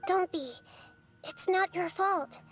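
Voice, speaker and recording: An actress voicing a small, calm young goddess who speaks softly and reassuringly, in game audio over music. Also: female voice, extremely high pitch, exaggerated voice. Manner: soft, measured and reassuring.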